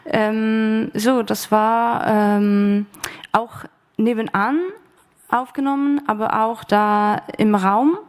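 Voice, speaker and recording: Slow, halting woman with an even voice. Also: fairly high pitch. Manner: very halting, searching for words. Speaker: radio play author on a panel. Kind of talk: unscripted panel talk. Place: stage of an arts academy event.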